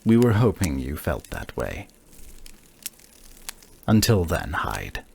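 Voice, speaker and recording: A man voicing a solemn, formal gang envoy in a tabletop game, speaking in measured phrases. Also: very deep voice, huge pitch swings, gravelly, a little mellow, breathy edge, pitched below the performer's own voice, full character voice. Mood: grave, resigned.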